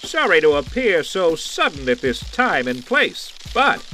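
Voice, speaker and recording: A man's voice: on the high side, very animated, measured pace, sharp tone, slight background noise, heavily acted, rough recording. A man voicing a boastful, sly insect supervillain in a campy superhero audio drama, theatrical and taunting in singsong rhyme. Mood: gloating.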